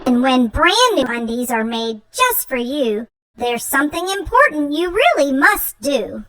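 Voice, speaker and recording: A woman voicing a fussy, melodramatic young monster child in a theatrical children's picture book read-aloud, with exaggerated, drawn-out words. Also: sits high, sharp tone, voice pushed up, heavily acted, rough recording. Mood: excited delight.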